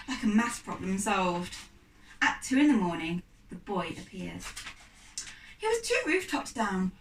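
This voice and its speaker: A woman reads a children's storybook aloud like a teacher, with expressive, deliberate phrasing. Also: a little high. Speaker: primary school teacher reading aloud. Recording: echoey room, distant microphone.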